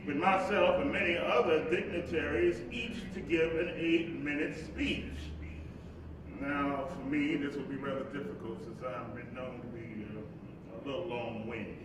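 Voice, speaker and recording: Male actor as civil rights preacher, eloquent, slow oratorical delivery, reflective, stage play, distant echoing hall. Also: a little high, close to an everyday voice. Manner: measured, deliberate, preacherly cadence. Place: live performance in an echoing hall. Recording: very echoey hall, distant mic, faint noise.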